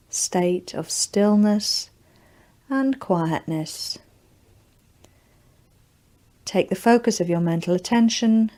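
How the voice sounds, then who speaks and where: Woman doing a guided meditation, really slow n relaxed tone, gentle spaced out phrases. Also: mid-range voice. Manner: gentle, deliberate, soothing instruction with spaced phrases. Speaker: yoga nidra guide.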